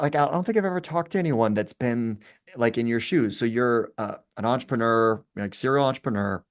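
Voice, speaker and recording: A middle-aged man hosting a podcast, asking casual questions in a friendly way with many fillers. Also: mid-range voice. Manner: friendly, laughing, filler-heavy. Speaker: podcast host.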